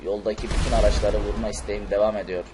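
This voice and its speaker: A man's voice: lowish voice, flat delivery, fast talker, close to an everyday voice. A man voicing an emphatic male cartoon superhero in an amateur role-play over a video game. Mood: raging. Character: angry, unhinged male cartoon superhero on a rampage. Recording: noisy game audio with explosions and vehicles.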